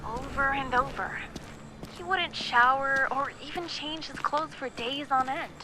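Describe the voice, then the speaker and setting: A woman's voice: high-pitched, measured pace. A woman voicing a young, informal researcher in a video game, explaining things in a casual, conversational, slangy way. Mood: somber, subdued. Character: young woman, informal, knowledgeable researcher confiding family secrets. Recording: loud background noise and ambience.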